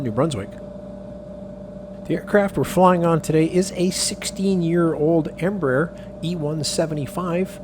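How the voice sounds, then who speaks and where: A man with a slightly high voice narrates a travel vlog as its host. His delivery is casual but scripted, and a clear hum of aircraft noise runs behind him. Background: aircraft and vehicle hum.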